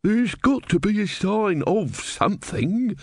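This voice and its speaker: A man's voice: high voice, expressive, slow, a little mellow, husky, dry recording, pitched above the performer's own voice, heavily acted. A man voicing a pedantic elderly man who talks in a boring, unstoppable way for a storybook.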